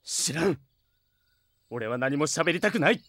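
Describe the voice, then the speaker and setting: Defiant young villager, swinging pitch. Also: male voice, expressive, measured pace, a bit bright, dry recording. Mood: bitter, sullen. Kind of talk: anime audio drama acting.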